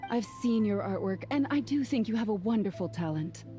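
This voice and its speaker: A woman voicing a gentle, practical middle-aged mother in a video game, soothing and measured. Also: mid-range voice, animated, faint music behind, close to an everyday voice. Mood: gently cautioning.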